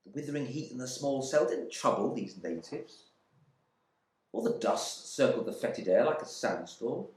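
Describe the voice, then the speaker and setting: A man's voice: medium pitch, lively intonation, normal speed, darkish tone, natural voice. A man performing a dramatic monologue as a proud, resentful airman in prison, in clipped, brooding phrases. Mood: indignant, agitated. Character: embittered English RAF airman imprisoned in India, proud and resentful. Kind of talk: dramatic monologue reading from a novel. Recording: echoey room.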